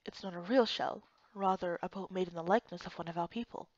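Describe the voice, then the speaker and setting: A wise old male turtle master from a fantasy game, acted out by a woman, speaks slowly and quietly in measured, formal phrases. The voice is calm and restrained, captured in a dry, slightly dull recording. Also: on the low side, no room sound, voice pushed down, natural voice. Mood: calm, knowing.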